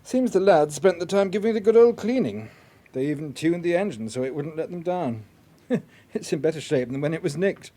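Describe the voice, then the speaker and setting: An actor playing a matter-of-fact British police car examiner in a radio drama, informal and dryly amused. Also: male voice, fairly high pitch, lively intonation, brisk, mellow. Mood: dry, factual. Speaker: police forensic officer. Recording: clear background ambient noise.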